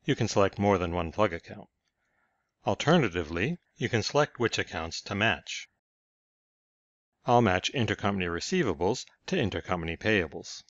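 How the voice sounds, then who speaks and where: Low-voiced man narrating a tutorial. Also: lively intonation, moderate pace, dry recording, lo-fi sound. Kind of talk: scripted software tutorial narration.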